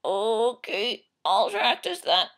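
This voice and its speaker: Creaky, high, anxious little childlike character. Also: female voice, sing-song, slow, a bit bright, full character voice. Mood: meek, eager.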